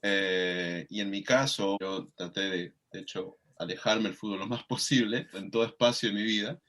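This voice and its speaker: A man from Mendoza, a podcast guest, thinking aloud hesitantly with many pauses and restarts. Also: low-pitched, fairly bright tone. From Mendoza, Argentina. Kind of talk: casual reflective conversation.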